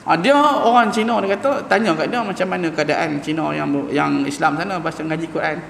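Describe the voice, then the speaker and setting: Gravelly-voiced man teaching in an echoing mosque. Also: on the high side, a bit bright, a little hiss or hum. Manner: informal, explaining, drawing out Arabic sounds slowly to demonstrate. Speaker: Quran teacher. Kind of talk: Quran recitation class in a mosque. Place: large mosque hall. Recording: echoey mosque hall with long reverb.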